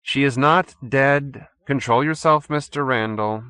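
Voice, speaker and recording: A man's voice: medium pitch, unhurried, sharp tone, clear, resonant tone, no room sound, studio quality, voice pushed up. A man voicing a haughty, urbane cult leader in an audiobook, pompous and sternly courteous. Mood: impatient. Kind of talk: audiobook character acting.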